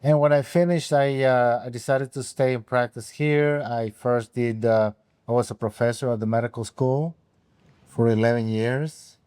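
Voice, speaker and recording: A man, an OB/GYN guest telling his career story in halting, unhurried speech full of ums. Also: mid-range voice, fairly even intonation, a little mellow, clean tone, no room sound, clean studio sound. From Mexico. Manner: halting, with frequent ums and restarts. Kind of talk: conversational interview answers.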